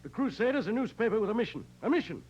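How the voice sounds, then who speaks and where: A pompous newspaper publisher, blustering and self-important. The voice is high and swoops about as it hammers each phrase twice. It comes through a muffled, noisy old radio recording. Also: male voice, very animated, rapid-fire, darkish tone. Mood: pompous, indignant. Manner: pompous, emphatically repeats himself. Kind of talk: 1940s radio drama acting.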